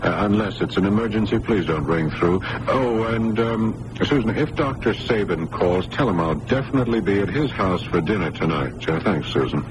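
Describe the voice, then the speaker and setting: A man playing a calm, careful physician in a 1980s radio drama, speaking in a measured, hesitant way. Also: fairly low pitch, close to an everyday voice. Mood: patient, businesslike. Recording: noisy old radio broadcast recording.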